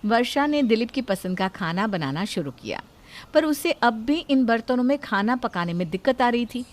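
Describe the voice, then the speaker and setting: Woman narrator telling a moral story, high voice, scripted read. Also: expressive, normal speed.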